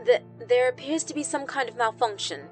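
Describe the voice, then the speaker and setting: A woman's voice: high voice, brisk, soft background music, pitched above the performer's own voice. A woman voicing a frantic female doctor who is losing her composure, stammering formally in an audio horror story. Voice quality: cracked, breathy. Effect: intercom speaker.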